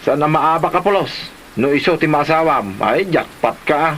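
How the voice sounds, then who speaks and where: A man's voice: fairly high pitch, moderate pace. A man playing a cajoling brother, noisy recording. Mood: coaxing. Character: cajoling brother matchmaking his sister with a gambler. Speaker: actor in a comedy drama. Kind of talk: amateur Ilocano comedy drama acting. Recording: noisy, steady hiss behind.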